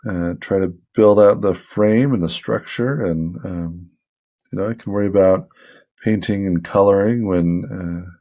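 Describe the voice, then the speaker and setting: Low, gravelly-voiced man chatting casually. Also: a little slow. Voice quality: soothing voice. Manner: rambling, relaxed, self-deprecating, many fillers. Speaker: hobby artist vlogger. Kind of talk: casual talk while drawing.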